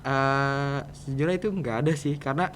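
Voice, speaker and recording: A man's voice: medium pitch, steady tone, fairly quick, fairly bright tone, slight background noise. A teenage male student with a Jakarta Indonesian accent, answering casually and a little hesitantly as a podcast guest. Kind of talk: casual interview chat.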